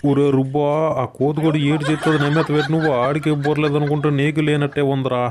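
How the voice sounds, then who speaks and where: Man as elder male cartoon frog guru, exasperated, scolding, north coastal Andhra Telugu accent, slightly high, narrow pitch range. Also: flat delivery, rapid-fire, over music, natural voice. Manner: scolding, instructing. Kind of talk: comic cartoon dialogue.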